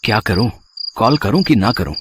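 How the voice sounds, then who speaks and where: A man's voice: fairly low pitch, expressive, very noisy, close to an everyday voice. A man playing a hesitant, easily flustered married man in a dramatized audio story. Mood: puzzled. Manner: halting, bashful, with stammers.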